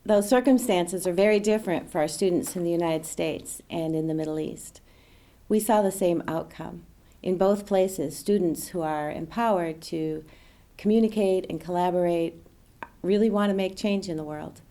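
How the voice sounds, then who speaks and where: A woman, a program organizer, giving calm, measured explanations in a documentary interview. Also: on the low side.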